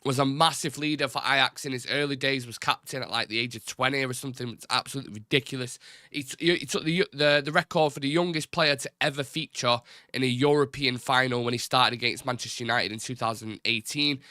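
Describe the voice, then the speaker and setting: A man's voice: medium pitch, fast talker, bright, forceful tone, no echo, studio quality. A young man with a blocked, nasal voice, hosting a casual football live-stream chat. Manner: rambling, chatty, informal. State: congested, blocked nose. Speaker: football channel host.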